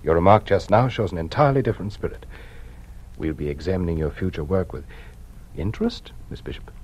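A man's voice: sits low, animated, a little fast, background noise. An actor playing a stern, patronizing male official who runs a hearing, in a 1950s radio drama.

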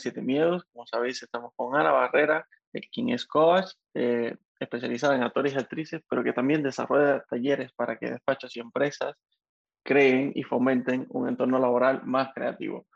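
A man's voice: medium pitch, flat delivery, fast talker, gravelly, no room sound. A man from Spain, hosting a podcast, introducing his guest in a friendly, enthusiastic way with frequent fillers. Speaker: podcast host. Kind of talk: podcast interview host. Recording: dry, dull lo-fi sound.

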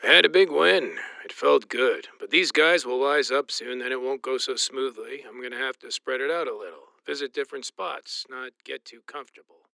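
An actor playing a wary, scheming hustler in a confiding audio drama monologue. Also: male voice, medium pitch, normal speed, creaky, hardly any pauses, bright, forceful tone, poor audio. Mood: wary satisfaction.

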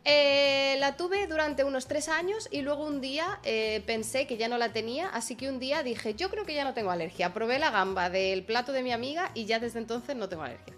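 A woman's voice: sits high, brisk, hardly any pauses, soft background music. Chatty woman host. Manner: chatty, lively storyteller. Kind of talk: casual chat, storytelling. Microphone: video call.

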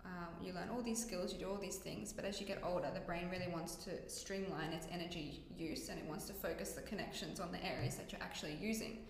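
A woman's voice: lowish voice, steady tone, quick pace, hardly any pauses, slight background noise. A young woman in casual chat, explaining step by step with many ums and restarts; her first language is Greek. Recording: echoey room with long reverb.

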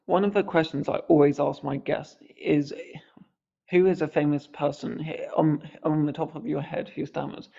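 A man's voice: a little high, fairly even intonation, normal speed, vocal fry, mellow, highs cut off. A man hosting a podcast, speaking earnestly with a mild stammer on the odd word. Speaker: podcast host. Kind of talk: reflective podcast commentary.

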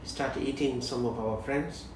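A man in his forties from South Sudan, a guest in an interview, speaking slowly and haltingly with many pauses. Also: medium pitch, steady tone, a little mellow. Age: 40s. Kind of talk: interview conversation. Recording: distant mic in echoey room, noisy.

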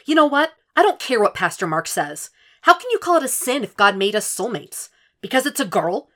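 A woman's voice: expressive, normal speed, natural voice. A woman voicing a distraught young woman who argues on the phone and pleads for reassurance. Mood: outraged. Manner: pleading, high-strung, shouting in anger.

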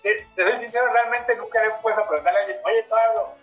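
A man's voice: high-pitched, steady tone, rapid-fire, a bit bright, soft background music. Chatty Mexico City man, echoey room. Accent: Mexico City Spanish. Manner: rambling, hesitant, lists things with restarts. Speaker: podcast guest. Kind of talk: casual chat.

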